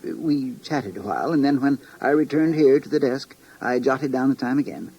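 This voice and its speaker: Husky, fussy hotel clerk. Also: male voice, medium pitch, normal speed, warm tone, dull audio. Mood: earnest, helpful. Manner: hesitant, precise, deferential. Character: polite, fussy, deferential male inn desk clerk. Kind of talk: 1950s radio drama acting.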